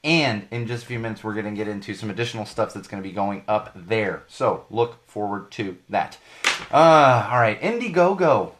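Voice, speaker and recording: A man hosting a podcast live, slightly hoarse from losing his voice, talking in a chatty, rambling way and correcting himself as he goes. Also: lowish voice, lively intonation, normal speed, fairly bright tone, slight background noise. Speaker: podcast host. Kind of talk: live podcast monologue with plugs.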